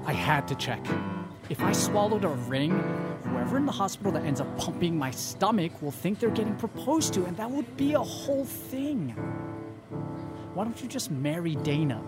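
A woman's voice: lowish voice, sing-song, moderate pace, over loud music. A woman playing a sardonic, irresponsible young male roommate in a naturalistic comedy audio drama. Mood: teasing.

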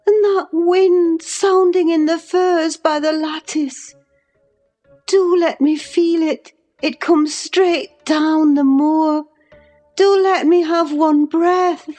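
A woman voicing a feverish, delirious young woman in an audiobook, speaking high and pleading. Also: steady tone, takes it slowly, warm tone, soft background music. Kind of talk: audiobook character reading.

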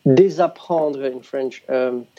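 A man's voice: medium pitch, slow, warm tone, muffled recording. A man in casual conversation about music, a teacher who often restarts and repeats words as he thinks aloud.

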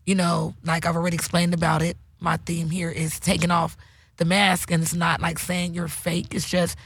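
Raspy-voiced woman host, casual chat. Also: on the low side, level tone, normal speed, no room sound. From California. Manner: loose, slangy storytelling with many restarts. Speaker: podcast host.

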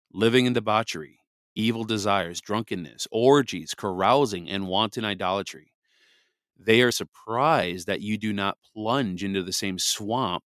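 A man from Michigan with a cold, hosting a podcast and reading scripture slowly after rambling chat full of fillers. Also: fairly low pitch, fairly bright tone, dry recording, studio quality. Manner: rambling, many fillers, then slow deliberate reading. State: congested from a cold. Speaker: podcast host. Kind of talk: scripture reading with casual commentary. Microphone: close podcast mic.

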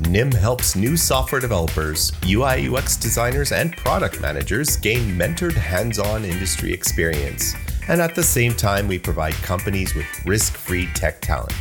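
A male announcer delivers a scripted podcast outro. His voice is fairly low and very lively in intonation, with guitar music playing clearly behind it. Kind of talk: scripted outro and sponsor read.

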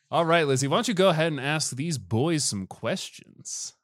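A man with a booming voice hosting a podcast as a theatrical dating game show announcer. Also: very animated, measured pace, no echo, clean studio sound. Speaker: podcast host.

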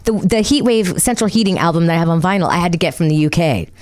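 A woman's voice: on the low side, expressive, fast talker, darkish tone. A woman guest on a comedy podcast, chatty and candid. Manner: chatty, candid, easy laughs. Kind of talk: casual podcast chat.

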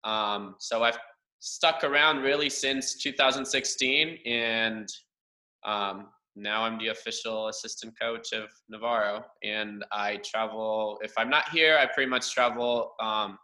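A young man from Romania, Romanian his first language, talks through his past in a relaxed interview. His voice is strikingly bright and forward, and his answers run long and earnest. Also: lowish voice, a little slow, poor audio. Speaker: guest, cheer assistant coach. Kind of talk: casual interview over a livestream.

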